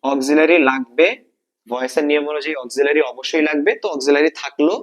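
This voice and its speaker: A man's voice: on the high side, steady tone, fast talker. A man with a Bangladeshi accent teaching an exam-prep lesson, explaining step by step, repeating points and asking check questions. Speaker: English grammar teacher.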